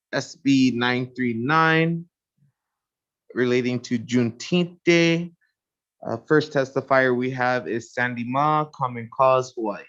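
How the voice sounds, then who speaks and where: A man's voice: medium pitch, fairly bright tone. A man chairing a legislative hearing, calling testifiers in a procedural, matter-of-fact way. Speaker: committee chair. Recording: dull lo-fi audio.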